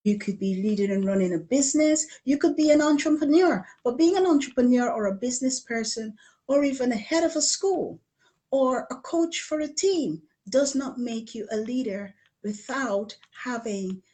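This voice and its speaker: A middle-aged woman from Guyana who has lived in the UK, presenting a coaching talk in deliberate phrases, repeating words for emphasis. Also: fairly high pitch, expressive, warm tone. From Guyana, later living in the UK. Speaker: leadership coach presenting a challenge. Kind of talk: live online coaching talk from slides.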